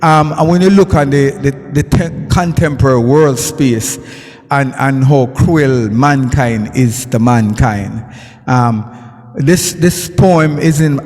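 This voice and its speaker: A man's voice: mid-range voice, a little mellow. A man with a Jamaican accent performing his poetry at a live event, chanting in rhythm and sometimes breaking into song. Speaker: poet and recording artist. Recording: reverberant live sound with music behind.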